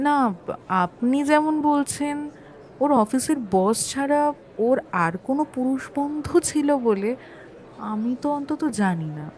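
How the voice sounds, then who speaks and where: A woman's voice: high voice, lively intonation, a little slow, darkish tone. An actress playing a landlady testifying in a courtroom audio drama, chatty, hesitant and a little defensive about her propriety. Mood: earnest, hesitant. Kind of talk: Bengali audio-story courtroom acting.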